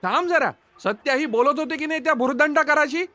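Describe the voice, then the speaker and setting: A man's voice: very high voice, a little fast, sharp tone, no echo. A man playing an agitated, suspicious middle-aged father in a comedy dub, flustered, insistent and interrupting.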